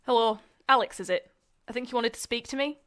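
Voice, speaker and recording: A woman's voice: a little high, quick pace, a bit bright, a little hiss or hum, lightly acted. A young woman acting as a university student in a comedic audio drama. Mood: polite, businesslike. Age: young adult. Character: young female student journalists and theatre director sparring.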